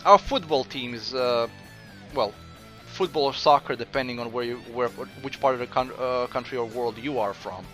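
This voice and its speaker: A man from Croatia with a mixed Croatian accent, chatting casually while streaming a game and answering viewers, with frequent pauses. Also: medium pitch, a bit bright, soft background music. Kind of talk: casual gameplay commentary.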